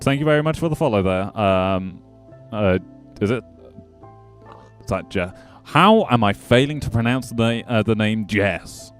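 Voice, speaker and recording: A man casually commenting on his gameplay as a streamer, chatting to his viewers and joking with the animals in the game. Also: mid-range voice. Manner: chatty, jokey, talks to chat and game animals.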